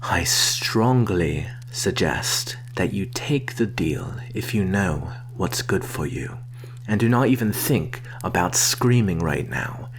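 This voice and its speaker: A man playing a sly, menacing vampire in an ASMR roleplay, speaking in a soft, breathy half-whisper with slow, formal phrasing. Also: low voice, full character voice. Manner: slow, deliberate, formal wording. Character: predatory male vampire, sly, coaxing and quietly menacing. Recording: clear background hum and noise.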